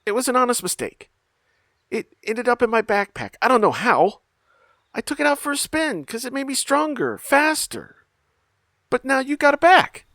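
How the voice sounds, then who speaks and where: Animated, scrappy teenage son. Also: male voice, extremely high pitch, normal speed, no room sound, studio quality, heavily acted. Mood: pleading. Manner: eager, defensive, boyish talk. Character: scrappy teenage son, cocky, earnest, protective of his mother. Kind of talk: audio drama acting.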